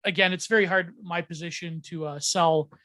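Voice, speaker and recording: High-voiced man rambling through ideas. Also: steady tone, fairly quick, bright, forceful tone, no echo. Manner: rambling, many ums and restarts, laughs often. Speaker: podcast guest. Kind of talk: casual podcast chat.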